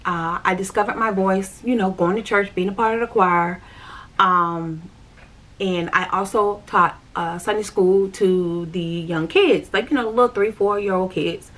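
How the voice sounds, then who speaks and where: Woman, chatty and repetitive, talks straight to her viewers, personal storytelling vlog, mid-pitched everyday voice. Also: unhurried, a bit bright, low-quality recording. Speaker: vlogger.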